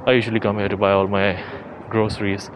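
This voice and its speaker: A young man with a fairly low voice talks informally to his viewers as he walks. He thinks aloud in the style of a casual tour vlog. The recording is outdoors, with heavy street and traffic noise around his voice. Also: little pitch movement, fast. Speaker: vlogger. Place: outdoors on city streets while walking. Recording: noisy city street, traffic ambience.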